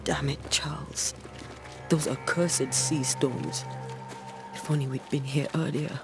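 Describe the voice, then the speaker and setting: A man's voice: on the high side, flat delivery, slow, creaky, over music. A man playing a blunt, weary, wry ghost hunter in a video game, with terse, dry delivery. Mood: bitter, weary. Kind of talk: dramatic video game cutscene acting.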